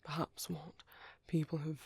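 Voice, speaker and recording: Man acting a breathy, gentle British vampire priest. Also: a little high, flat delivery, a little slow, dry recording, exaggerated voice. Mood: soft, reflective. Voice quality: soft, breathy, often near whisper. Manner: hesitant, rambling, formal politeness. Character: gentle British male vampire priest, kindly, caring, apologetic. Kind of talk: soft-spoken ASMR roleplay.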